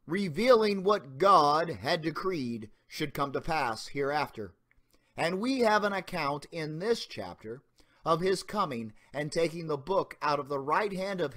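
A man giving a dramatic reading of an old sermon as a pastor, in solemn, deliberate, emphatic formal oratory. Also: on the high side, fairly bright tone.

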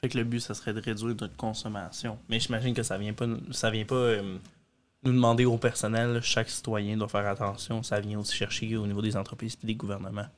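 Young Quebec man, flat casual delivery. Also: on the low side, brisk, slight background noise. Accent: Quebec French. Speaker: podcast panelist. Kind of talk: casual chat. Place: small podcast studio, indoors. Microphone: studio mic on boom arm.

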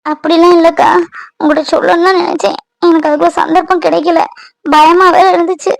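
A woman's voice: extremely high pitch, flat delivery, brisk, vocal fry, no echo. A woman doing a tearful frightened young wife. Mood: pleading. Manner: tearful, trembling, pleading. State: crying. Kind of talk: Tamil domestic audio drama.

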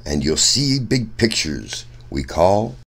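A man reading a rhyming children's picture book aloud in an expressive, sing-song storyteller's way. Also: low-pitched, slow, creaky. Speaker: children's story reader, host.

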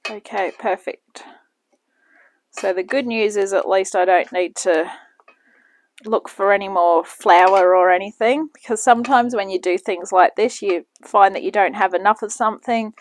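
A woman's voice: mid-range voice, moderate pace. Woman, home cook, casual chatty talk, lapel mic, kitchen at home. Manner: chatty, talks while working in the kitchen. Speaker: home cooking channel host. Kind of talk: casual chatty cooking demonstration.

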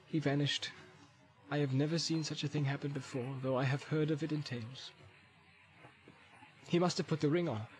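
A man's voice: on the high side, steady tone, close to an everyday voice, rough recording. A man voicing a grim, evasive warrior in a subdued, formal manner for a storybook reading. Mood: subdued, defensive. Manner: halting, quiet, formal.

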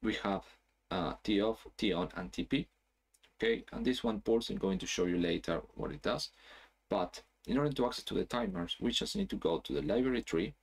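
Low-voiced man explaining software, chatty. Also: gravelly. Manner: casual, explanatory, frequent "okay?" checks. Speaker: software trainer. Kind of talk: screen-share tutorial.

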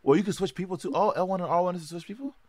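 A man with a slight lisp chatting casually while playing a video game with a friend, excitable and swearing at the screen. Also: high-pitched, rapid-fire, dry recording. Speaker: gamer. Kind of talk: casual co-op gameplay chat. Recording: music playing behind.

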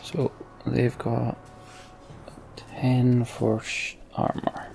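Very gravelly man, slow game commentary. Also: medium pitch, monotone, a little mellow, a little hiss or hum, rough recording. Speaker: let's play host. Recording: game music behind voice. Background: game music.

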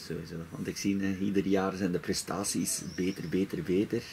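Man, Dutch accent, interviewer, deep voice, hesitant. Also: flat delivery, fairly quick, vocal fry, a little mellow, slight background noise, rough recording. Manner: hesitant, many filler sounds. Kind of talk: casual interview.

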